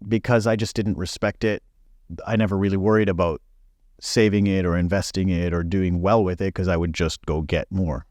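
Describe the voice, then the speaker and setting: A man's voice: low voice, flat delivery, normal speed, darkish tone, dry recording, clean studio sound. A man in his forties, born in Belgium, speaking frankly as a podcast guest with many fillers. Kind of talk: casual interview chat.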